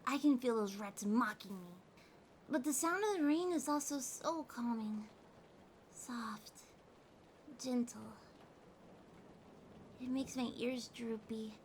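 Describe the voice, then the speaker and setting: A woman voicing a needy, haughty but affectionate young cat girl in a soft, drowsy, pouty ASMR roleplay. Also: a little high, slow pace, pauses often, a little hiss or hum. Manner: pouty, teasing, drowsy, speaking softly to a partner. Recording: steady rain sounds behind voice. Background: rain ambience.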